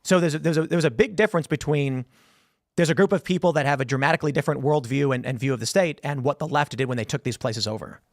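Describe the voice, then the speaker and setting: A man in his thirties hosts a political talk show from a studio, speaking into a boom-arm mic. He tells stories animatedly, restarting phrases often. The sound is dry and close, with no room echo. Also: quick pace. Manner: animated storytelling with many restarts and gestures. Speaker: podcast host. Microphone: studio mic on boom arm, headphones.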